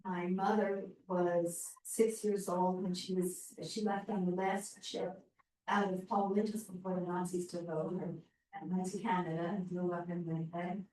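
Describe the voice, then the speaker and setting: Flat-voiced woman, far off and echoey. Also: lowish voice. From United States. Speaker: class participant. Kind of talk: casual self-introduction.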